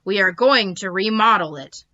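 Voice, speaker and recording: A woman voicing a grumpy, bossy green alien invader in a storybook, forceful and declamatory, on a slightly dull recording. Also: medium pitch, moderate pace, close to an everyday voice. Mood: demanding.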